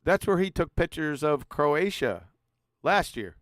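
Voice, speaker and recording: A man's voice: a little high, big pitch swings, measured pace, no echo, clean studio sound. A man hosting a casual live panel chat, teasing and bantering with a guest.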